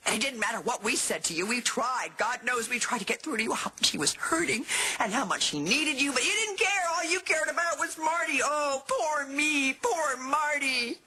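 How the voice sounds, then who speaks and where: A woman's voice: a little high, lively intonation, brisk, few pauses, bright, forceful tone, a little hiss or hum. An actress playing a middle-aged, wounded and unforgiving mother in a television drama, with an accusing, emphatic delivery. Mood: angry, scornful. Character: wounded, unforgiving mother confronting her best friend.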